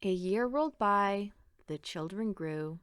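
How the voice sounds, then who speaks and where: A woman reads a rhyming picture book aloud for children. She takes her time, shaping each line with an expressive, sing-song lilt. Her voice sits at an average pitch in a dry sound with little room on it. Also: takes it slowly, smooth, dry recording. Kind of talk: read-aloud children's storytelling in rhyme.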